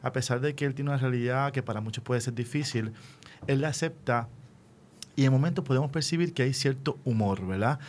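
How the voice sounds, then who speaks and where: A man's voice: brisk, a little mellow. A man with a Puerto Rican accent hosting a casual radio interview. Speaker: radio host. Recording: faint low rumbling noise.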